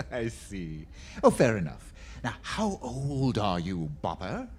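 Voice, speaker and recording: This is a man acting a fussy department store Jack Frost in a radio play. His husky voice swings hugely up and down. He gushes with endearments and melodrama. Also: fairly low pitch, wildly swooping pitch, takes it slowly, a little mellow, full character voice. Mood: jolly, kindly. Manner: effusive, melodramatic, peppered with endearments. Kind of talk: 1940s radio drama acting.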